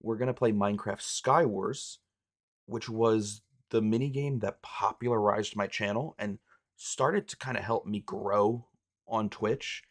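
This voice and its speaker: A young man with a raspy voice from being sick, chatting casually as a streamer, rambling and poking fun at himself. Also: fairly low pitch, unhurried. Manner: rambling, self-mocking, restarts phrases. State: hoarse from illness.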